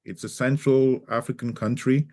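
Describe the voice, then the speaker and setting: A man raised in Cameroon, a native French speaker with a mixed French and Cameroonian accent, talking in a casual interview with many pauses and restarts. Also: mid-range voice, fairly even intonation, mellow. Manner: hesitant, many fillers and restarts. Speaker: guest.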